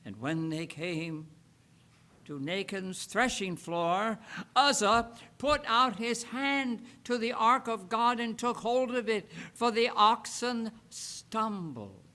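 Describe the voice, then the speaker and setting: A man reading scripture aloud solemnly, with deliberate, emphatic phrasing and frequent pauses. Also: sits high, pushed and bright. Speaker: Bible reader.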